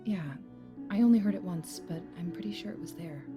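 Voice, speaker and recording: An actress playing a high-strung young mother in an audio drama, pointed and questioning with her husband. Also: fairly quick, over music, natural voice. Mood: tired, wary. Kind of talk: naturalistic audio drama acting.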